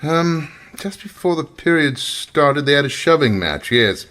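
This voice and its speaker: An actor playing an affable, wry male professor in an old-time radio mystery, chatty and pausing as he recalls things. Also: a little high, animated, fairly bright tone. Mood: wry, obliging.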